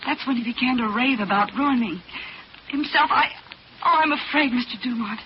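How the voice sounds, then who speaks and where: A woman's voice: fairly high pitch, normal speed, natural voice. An actress playing an anxious, earnest female studio secretary in a 1940s radio drama, with breathless, worried delivery. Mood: frightened. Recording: noisy, dull old 1940s radio recording.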